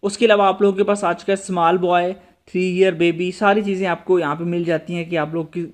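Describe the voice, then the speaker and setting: Male tutorial host, high register, instructional delivery straight to the viewer. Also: little pitch movement, a little fast. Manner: instructional, repeatedly addressing viewers. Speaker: host of a tech tutorial channel. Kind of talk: screen-recorded app tutorial narration.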